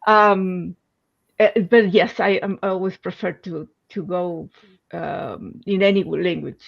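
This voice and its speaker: Slow, hesitant woman. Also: on the low side, fairly even intonation, gravelly, lo-fi sound. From Chile. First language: Spanish. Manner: hesitant, searching for English words, many fillers. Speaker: teacher. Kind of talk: casual chat on a writing stream.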